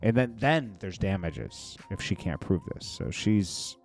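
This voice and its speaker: Male gossip host, low register, blunt and slangy opinion delivery, light music bed. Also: expressive, measured pace. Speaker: show host. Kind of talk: casual opinionated gossip commentary.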